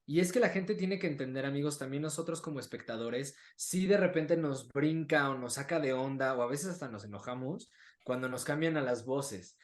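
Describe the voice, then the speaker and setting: A man's voice: medium pitch, normal speed. A man with a Mexican accent hosting a casual, conversational interview. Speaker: interview host.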